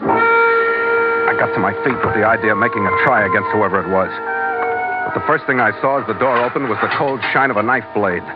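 A man's voice: mid-range voice, wildly swooping pitch, fairly quick. A man playing a tough, wry adventurer in old radio drama, with a hard-boiled delivery. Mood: dry narration. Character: tough, wry adventurer hero narrating his exploits. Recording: dull old radio recording with music.

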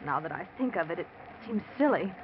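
An actress playing a prim, practical wealthy wife in a 1940s radio drama, earnest and disapproving. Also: female voice, lowish voice, animated, fairly quick, lightly acted. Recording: noisy muffled old radio recording.

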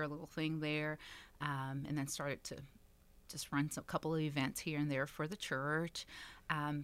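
A woman's voice: low voice, a bit bright. A woman in her thirties from Texas, a community center director, chatting as a guest with frequent laughs and fillers. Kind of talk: casual interview chat.

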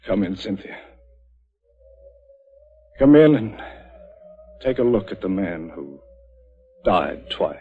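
An actor playing a cool, defiant doctor in 1940s radio drama style, with a deliberate, coldly needling delivery. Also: male voice, fairly low pitch, sing-song, faint music behind. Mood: grim. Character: cool, defiant male doctor turning the tables. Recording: dull old 1940s radio recording.

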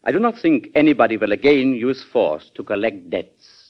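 An actor playing a worldly, cynical German ambassador with a German accent and a formal, measured manner, in 1940s radio drama. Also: male voice, medium pitch, lively intonation, lightly acted. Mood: formal, conceding. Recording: dull vintage radio broadcast.

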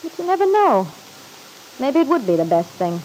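A woman's voice: sits high, sing-song, normal speed, a little mellow, low-quality recording. An actress playing a guarded, wisecracking young woman in an old-time radio soap opera, with slangy, breezy talk. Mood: worried. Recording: hissy vintage radio recording.

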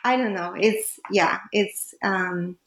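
Slow, hesitant woman, second-language speaker. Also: medium pitch, fairly bright tone, echoey room, slight background noise, low-quality recording. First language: not English. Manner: hesitant, many fillers and restarts. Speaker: author, guest. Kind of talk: academic interview.

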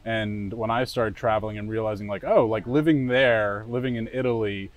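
A man's voice: on the low side, steady tone, moderate pace. A man hosting a casual interview, asking friendly, rambling questions. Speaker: podcast host.

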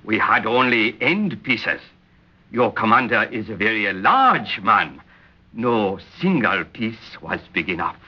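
A man's voice: on the low side, expressive, slow pace, husky, full character voice. An actor in an old radio drama playing a polite, deferential island tailor who is proud of his craft. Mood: proud, apologetic. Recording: muffled old radio recording.

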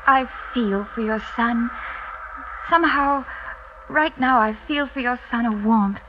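High-voiced, composed young wife, played by an actress. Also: female voice, close to an everyday voice. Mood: resolved. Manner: measured, formal, theatrical. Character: composed, resolute young French wife, coolly polite. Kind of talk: 1950s radio drama acting. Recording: muffled vintage radio recording, faint hiss.

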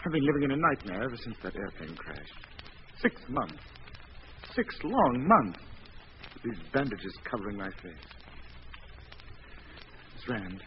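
A man playing an anxious, impatient injured stage actor in an old-time radio drama, halting, agitated and pleading. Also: fairly low pitch, sing-song, lo-fi sound. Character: anxious, impatient bandaged male actor, desperate to recover. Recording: noisy, band-limited old radio recording.

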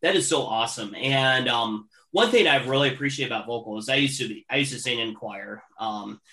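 Guy in his late 30s interviewing a band, bright voice, chatty and upbeat questions. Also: medium pitch, brisk. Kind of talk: casual interview.

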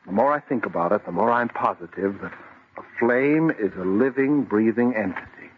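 An actor as low-voiced, obsessive young man, vintage radio. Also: normal speed, lightly acted. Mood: insistent. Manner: intense, rapt, insistent. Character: obsessive, dreamy young bridegroom fascinated by living fire. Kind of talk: old-time radio horror acting. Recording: dull, noisy vintage radio recording.

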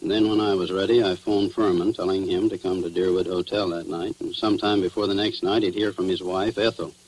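A man's voice: sits low, steady tone, brisk, darkish tone, lightly acted. An actor playing a cynical crooked policeman with slangy tough-guy talk, in 1940s radio drama style. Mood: confessing, rueful. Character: crooked male cop confessing to murder, slangy, cynical. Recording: noisy, humming 1940s radio recording.

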